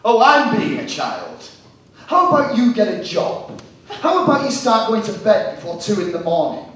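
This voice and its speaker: Animated, echoey man playing Graham, grumpy uneasy boyfriend. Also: high voice, moderate pace, full character voice. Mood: exasperated. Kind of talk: live dramatic performance of a short story. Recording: distant, very echoey hall, faint noise.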